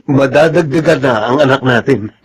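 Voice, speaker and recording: An actor playing an eager, devoted young soldier husband in a Filipino radio drama. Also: male voice, mid-range voice, moderate pace, a little hiss or hum, close to an everyday voice. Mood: excited. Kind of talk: Filipino radio soap opera acting.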